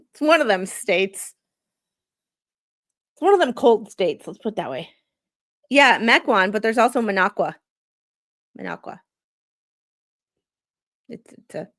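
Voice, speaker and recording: A woman hosting a livestream as a non-lawyer commentator, reading legal filings aloud haltingly and chatting about them in an informal, self-deprecating way. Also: medium pitch, fairly bright tone.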